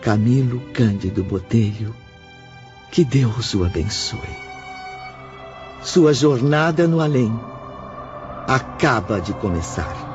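A man narrating a radio drama as a solemn storyteller, in a deliberate, dramatic scripted style. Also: medium pitch, lively intonation. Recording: dull lo-fi audio over music. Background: prominent music.

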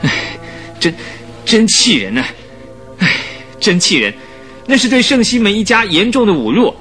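Willful young male aristocrat, radio drama, high and animated, aggrieved hauteur. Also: normal speed, soft background music, exaggerated voice. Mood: indignant. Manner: haughty, sighing, aggrieved. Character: vain, willful young aristocrat, indignant and proud. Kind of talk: radio drama acting in Mandarin.

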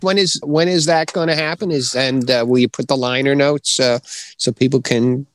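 A middle-aged man speaks as a podcast co-host in a casual, chatty style. His voice sits at an ordinary male pitch, and the sound is dry, with very little room around it. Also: steady tone, normal speed, dry recording. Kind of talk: casual chat about writing, with some reading aloud.

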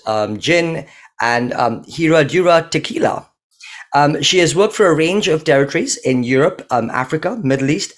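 A man hosting a podcast remotely, chatty and enthusiastic, with plenty of "um" fillers. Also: a little high. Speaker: podcast host.

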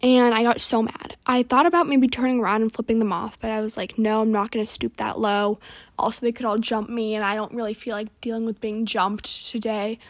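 A teenage girl with a fairly high voice tells stories casually, as if chatting to friends. She rambles and doubles back, leaning on teen slang with an easily exasperated delivery. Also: little pitch movement, fairly quick. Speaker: podcast host.

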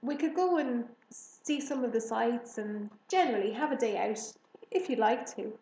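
A woman's voice: fairly high pitch, animated, normal speed, dark tone, reverberant, a little hiss or hum, poor audio. A woman reading a storybook, voicing a kindly, polite and friendly adult woman.

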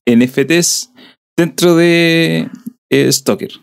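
A man's voice: on the high side, very slow, creaky, smooth. A man from southern Chile with a Chilean accent, hosting a podcast in a rambling, chatty way. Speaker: podcast host. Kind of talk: casual podcast chat.

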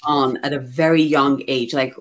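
A woman from Ghana talking candidly and reflectively about herself as a guest, in an expressive conversational way. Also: rumbling low, moderate pace. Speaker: guest receiving a card reading.

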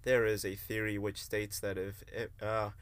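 A man playing a quiet, self-important philosophy student in an amateur radio play, speaking stiffly and formally. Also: lowish voice, flat delivery, moderate pace, smooth, no room sound, natural voice. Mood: startled, pedantic. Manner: stilted, formal speech.

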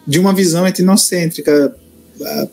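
Book reviewer thinking out loud, bit high, mellow tone. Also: male voice, moderate pace, gravelly. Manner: hesitant, musing, many restarts. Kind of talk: casual conversation about literature.

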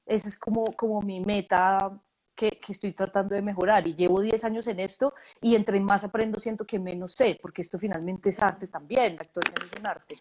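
A woman, a casting director giving relaxed, thoughtful interview answers with many fillers and restarts. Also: medium pitch, brisk, poor audio.

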